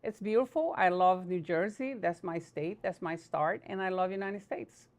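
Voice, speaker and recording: A woman from Brazil, a Portuguese speaker, answering interview questions as a political candidate in earnest, halting English. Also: on the low side.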